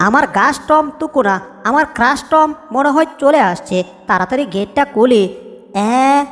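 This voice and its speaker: A woman's voice: high voice, moderate pace, close to an everyday voice. A woman voicing a smitten, gushing female sweetheart in a cartoon comedy. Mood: eager. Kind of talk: Bengali cartoon dub comedy. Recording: long hall-like reverb.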